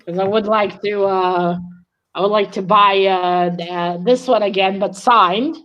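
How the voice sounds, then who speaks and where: A woman hosting a book read-along in casual chat, speaking haltingly and often repeating words. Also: mid-range voice, fairly even intonation, muffled recording.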